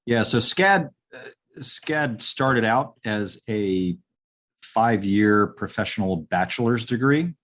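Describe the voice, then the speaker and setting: Low-pitched man in his forties, unhurried and halting, guest on an interview podcast. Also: animated, fairly bright tone. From upstate New York. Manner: halting, many ums and restarts. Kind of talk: casual interview answers.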